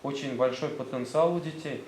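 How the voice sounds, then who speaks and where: A man's voice: medium pitch, fairly quick, a little mellow. A man with a Tatar accent, a school director presenting his gymnasium to visiting officials, hesitant and full of fillers. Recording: roomy sound, faint background noise.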